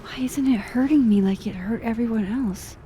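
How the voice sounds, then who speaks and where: An actress playing a gentle, self-doubting young woman in a video game, earnest, hesitant and reassuring. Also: a little high, normal speed, a little hiss or hum, lightly acted. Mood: uneasy. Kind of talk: naturalistic video game acting.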